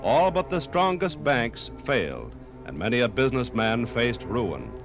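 Animated male radio narrator over music. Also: mid-range voice, unhurried. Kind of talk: dramatic scripted 1950s radio narration. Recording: 1950s vinyl radio recording, music underneath.

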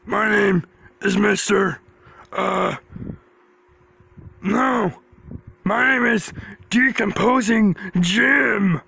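A man voicing a slow cartoon frog-like creature with a gravelly, raspy voice and a drawn-out, halting delivery. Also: high-pitched, sharp tone, heavily acted. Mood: muddled.

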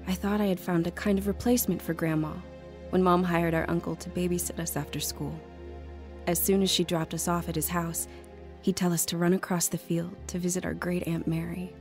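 Woman acting a wistful, low-voiced narrator over music. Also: a little mellow, natural voice. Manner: reflective, measured storytelling. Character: grown woman recalling her lonely childhood, wistful. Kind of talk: scripted first-person drama narration. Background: music under the voice.

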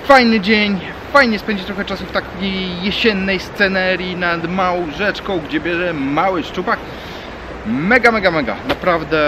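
A man's voice: sits high, background noise. A man in his thirties filming a fishing vlog outdoors by a small river, chatting and explaining as he fishes. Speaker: fishing vlogger. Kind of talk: casual outdoor vlog talk.

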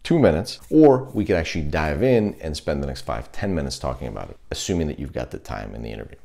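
A man in his thirties with an extremely low-pitched voice speaks as a career coach. He delivers instructional advice straight to camera and puts deliberate emphasis on key words. He is miked with a lapel microphone in a small indoor room. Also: dark tone. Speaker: host, product management career coach. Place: small indoor room, plain dark backdrop. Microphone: lapel mic.

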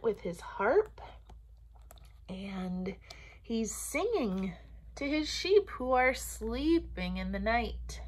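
A woman in her forties, a pastor hosting story time, speaking to young children in simple phrases with deliberate pauses. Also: high voice. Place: small room at home or office, indoors.

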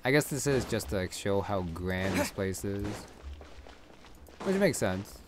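A man giving casual, low-key gameplay commentary, thinking aloud as he plays. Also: lively intonation, unhurried. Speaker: gamer recording a playthrough. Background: game music.

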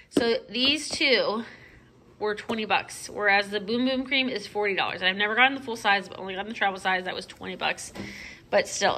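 Bright-voiced young American woman vlogger. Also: mid-range voice, a little hiss or hum. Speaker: vlogger and mother showing a grocery haul. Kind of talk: casual chatty haul narration. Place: home kitchen, indoors. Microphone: handheld phone behind the camera.

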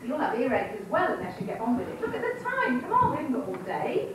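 A woman's voice: sits high, wildly swooping pitch, fast, background noise. A woman playing a bossy, impatient council workman in a comic pantomime, scolding on stage. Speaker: amateur pantomime performer. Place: hall stage with audience. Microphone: far camera. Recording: distant, very echoey camcorder stage recording.

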